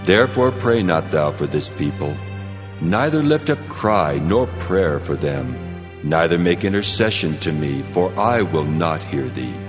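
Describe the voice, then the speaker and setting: A man's voice: extremely low pitch, lively intonation, measured pace, music in the background. A man playing a stern, wrathful God in a dramatized scripture reading, solemn and commanding in archaic English. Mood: stern, indignant. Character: God, solemn, stern, wrathful male deity rebuking his people.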